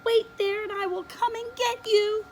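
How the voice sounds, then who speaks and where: A woman voicing a devoted little girl who dotes on her cat, in a high, gushing, tender voice. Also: steady tone, normal speed, a little hiss or hum. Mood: relieved.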